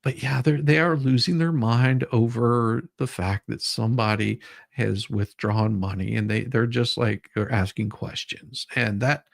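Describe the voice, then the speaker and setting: A man's voice: mid-range voice, steady tone, unhurried, gravelly, no echo, clean studio sound. A man hosting an online show in casual chat, rambling and often stammering over repeated words.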